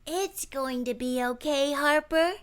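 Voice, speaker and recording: A woman voicing a gentle, dreamy, artistic little girl who soothes her friends in a storybook. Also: high-pitched, slow pace, pitched above the performer's own voice. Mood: comforting. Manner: absent-minded, soothing. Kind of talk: storybook character voice.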